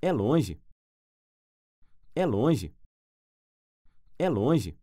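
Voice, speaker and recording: Slow, deliberate man. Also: medium pitch, sing-song, warm tone, no room sound, clean studio sound. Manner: repeats each phrase three times, clearly and deliberately. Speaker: language teacher. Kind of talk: slow phrase repetition for learners.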